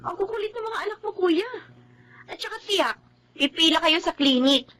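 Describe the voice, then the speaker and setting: An actress playing a vain, sharp-tongued young woman who scolds and dismisses people around her. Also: very high-pitched, expressive, normal speed. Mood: dismissive. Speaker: lead character in a drama.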